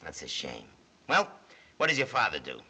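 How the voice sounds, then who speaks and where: Cynical film press agent, piercing bright voice, wildly swooping pitch, florid clipped delivery, hissy old soundtrack. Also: male voice, wildly swooping pitch, normal speed, breathy edge, exaggerated voice. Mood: brisk, cynical. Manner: theatrical, dictating in clipped phrases. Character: brash cynical studio press agent inventing florid biographies. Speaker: actor. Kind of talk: 1930s film comedy acting.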